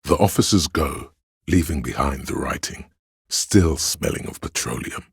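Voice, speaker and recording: A man with a gravelly, husky voice, narrating a video game in a measured, solemn, literary and dramatic style. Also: very deep voice, animated, no echo, studio quality. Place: close, dry studio.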